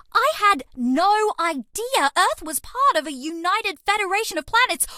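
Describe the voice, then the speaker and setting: Casting: eager, quirky young alien mouse girl for a game, sweet-natured, with very lively intonation and a close, dry sound. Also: extremely high pitch, dry recording, exaggerated voice. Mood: excited, eager. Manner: bubbly, earnest, quirky, affectionate. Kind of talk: dating game character voice lines.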